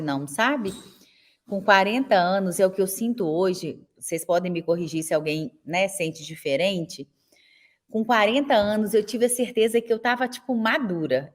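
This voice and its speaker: A woman in her forties with a Brazilian accent, teaching a live online class for elder caregivers in a chatty way and often checking in with her viewers. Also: fairly low pitch. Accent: Brazilian Portuguese. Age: 40s. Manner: chatty, tags nearly every sentence with a question to viewers.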